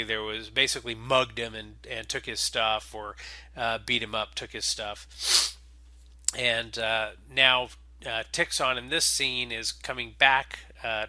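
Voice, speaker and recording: A man with a very bright, forceful voice talks casually as the host of a role-playing audio log. His pitch sits in the middle for a man, and he feels his way through sentences with frequent pauses and fillers. The sound is dry, with hardly any room on it. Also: no room sound. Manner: halting, hesitant phrasing with frequent filled pauses. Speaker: podcast host and game master.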